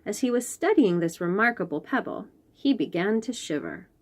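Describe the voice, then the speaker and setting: A woman storyteller reading picture books aloud to young children, gently and with wonder, pausing to chat to the listeners. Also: mid-range voice, animated. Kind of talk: expressive picture-book read-aloud with asides to young listeners.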